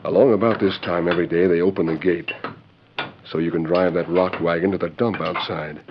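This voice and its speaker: Guy acting a tough scheming outlaw convict, real deep, dull hissy 40s radio recording. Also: steady tone, a little fast, lightly acted. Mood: goading, sly. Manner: tough, slangy, conspiratorial. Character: hardened male convict outlaw, sly, goading, ruthless. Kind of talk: 1940s radio western acting.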